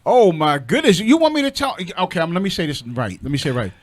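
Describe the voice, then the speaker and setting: A man hosting a radio show in casual, excitable chat, with an effusive, affectionate manner. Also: a little high, fast talker.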